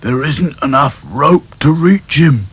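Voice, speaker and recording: Man voicing a gloomy doll, very slow, drawn out, mournful. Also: fairly high pitch, lively intonation, breathy edge, pitched above the performer's own voice, exaggerated voice. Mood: glum. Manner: glum, drawn-out.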